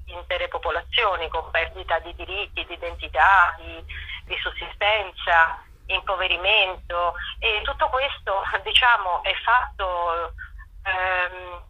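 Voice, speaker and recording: A woman with a slightly husky voice, explaining things phrase by phrase with hesitations as a radio interview guest. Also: lowish voice, no echo, muffled recording. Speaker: interview guest, stage actress. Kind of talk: spontaneous radio interview, promoting a stage show.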